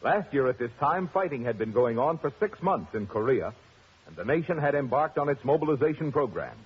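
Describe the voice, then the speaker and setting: A man reading a formal, earnest radio announcement in a measured, stately delivery. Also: medium pitch, sing-song, slightly rough. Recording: muffled 1950s radio broadcast.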